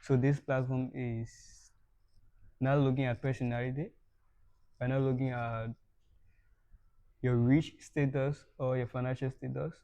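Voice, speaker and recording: A man's voice: mid-range voice, level tone, measured pace, pauses often, warm tone, clear, resonant tone, no echo, dull audio. A young man hosting a podcast interview in a casual way. He laughs often and repeats words when he starts a sentence. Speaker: podcast host.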